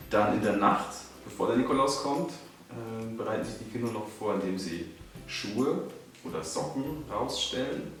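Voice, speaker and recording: A young Bavarian man with a low voice and a Bavarian accent chats casually, slipping in dry jokes. He sounds a little distant from the mic in a very echoey room, with faint music underneath. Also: lively intonation, normal speed, a bit bright. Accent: Bavarian German. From Bavaria, Germany. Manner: dry, joking asides. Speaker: guest. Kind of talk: casual chat.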